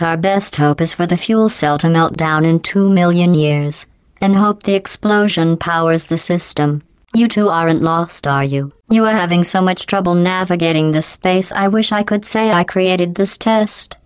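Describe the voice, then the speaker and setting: Cold sarcastic female game AI, low and smooth, robot filtered, mock-polite. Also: no echo. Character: cold, passive-aggressive female AI supervisor. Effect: robotic voice processing. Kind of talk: video game voice acting.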